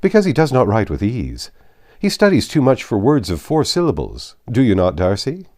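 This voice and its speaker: A man voicing a cheerful, easygoing, impulsive young gentleman in an audiobook of a classic novel, with an animated, good-humoured manner. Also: medium pitch, normal speed, pitched above the performer's own voice. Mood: teasing, cheerful.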